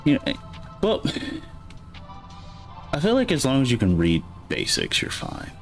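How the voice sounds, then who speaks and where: A man's voice: medium pitch, huge pitch swings, measured pace, gravelly, soft background music. A man with a light Alabama accent, chatting casually and rambling to his chat while playing a game. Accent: light Alabama Southern. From Alabama, USA. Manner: rambling, chatty, self-correcting, reads chat aloud. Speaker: gaming streamer.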